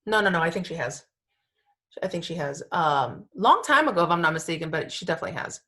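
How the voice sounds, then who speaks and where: A woman's voice: fairly low pitch, sing-song, quick pace, sharp tone. A woman co-hosting a casual podcast, chatting in an emphatic way and often repeating her phrases. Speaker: podcast co-host.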